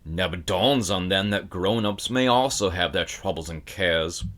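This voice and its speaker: A man voicing a gruff, grumbling older shop owner who dislikes children, in a storybook reading. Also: low-pitched, unhurried, a bit bright. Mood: grumpy ranting. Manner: growling, grumbling, brusque and scornful. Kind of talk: audiobook character acting.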